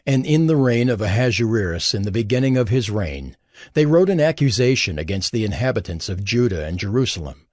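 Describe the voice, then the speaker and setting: A man narrating scripture in a solemn, expressive reading. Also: on the low side, fairly quick, dry recording, studio quality.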